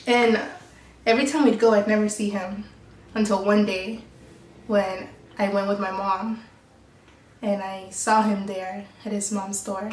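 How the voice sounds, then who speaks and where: A young woman from Mexico chats slowly and easily in a casual Q&A, her voice affected by a cold. She keeps giggling and talking in a relaxed way. She sits some distance from the mic in a room that echoes noticeably. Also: medium pitch, slight background noise. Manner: chatty, unhurried, giggly. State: sick, voice affected. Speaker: vlog co-host. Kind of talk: casual Q&A chat.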